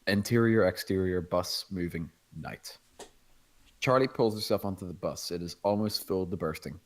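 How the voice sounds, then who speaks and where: A man with a low voice narrates a screenplay. He reads out scene headings and stage directions as scripted narration for a table read. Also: moderate pace.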